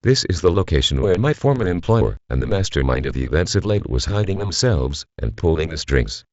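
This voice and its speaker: A man's voice: low voice, very animated, fairly quick, a little mellow, dry recording. A man playing a solemn vigilante who addresses the nation in a grave, formal broadcast speech. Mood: grave, resolute. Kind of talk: scripted emergency broadcast speech. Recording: dull, lo-fi broadcast sound.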